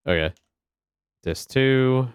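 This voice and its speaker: A man's voice: medium pitch, clear, resonant tone, no room sound, studio quality. A man casually commenting while streaming a game, laid-back and joking, laughing often. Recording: noisy stream with loud game audio.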